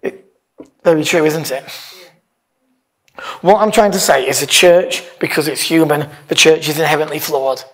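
A man's voice: fairly high pitch, normal speed, pauses often, a bit bright. Guy preaching at church, chatty but earnest, leans hard on key words. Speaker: pastor. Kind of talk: informal sermon, partly reading aloud. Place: church hall, speaking from a lectern on stage.

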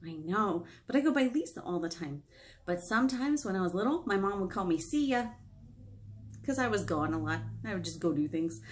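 Animated American woman hosting children's storytime. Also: medium pitch, normal speed, slight background noise. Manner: simple child-directed phrasing with frequent questions. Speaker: children's librarian, storytime host. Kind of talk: children's storytime, chatty and explanatory. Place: small indoor room, painted wall.

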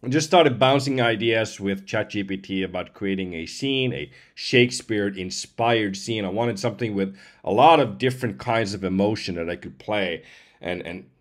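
A man in his forties from Finland, a slightly hoarse voice-over artist giving a casual demo. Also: fairly low pitch, normal speed. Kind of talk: casual demo talk to camera. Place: indoors at home computer, seen wearing headset.

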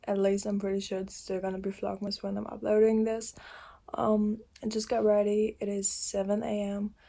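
A young woman with a raspy voice chatting casually to her phone camera, rambling with fillers. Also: medium pitch, little pitch movement, moderate pace, dark tone, no echo. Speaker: vlogger. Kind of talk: casual vlog chat. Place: inside a car. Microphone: phone camera at arm's length.

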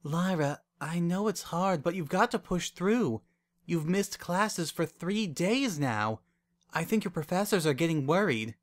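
A man's voice: high voice, expressive, unhurried, a bit bright, voice pushed up. A man voicing an earnest, worried young female pony who pleads urgently, in a story reading. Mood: gentle concern.

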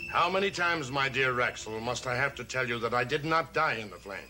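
An actor playing a grave, mysterious older gentleman with measured speech in a gothic television soap. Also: medium pitch, expressive, a little hiss or hum. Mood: stern, condescending. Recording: old TV soundtrack, dramatic music underneath.

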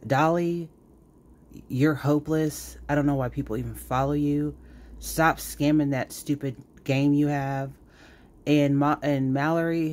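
A man's voice: medium pitch, darkish tone. A man hosting reaction commentary, scolding emphatically and repeating words for effect. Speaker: commentary host.

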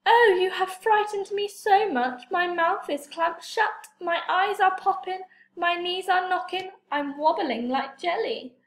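Woman putting on a tiny squeaky storybook toad. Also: unhurried. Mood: pleading. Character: tiny clever male toad, frightened then sly. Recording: roomy echo.

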